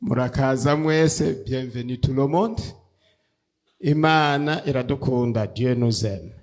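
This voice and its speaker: A man from Burundi speaking deliberately, repeating each phrase with its translation. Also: mid-range voice, darkish tone.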